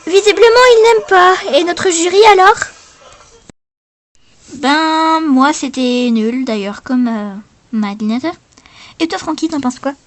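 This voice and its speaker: A female performer playing presenter and coaches in an improvised talent-show parody, speaking in a hesitant, halting way. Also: high-pitched. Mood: blunt, dismissive.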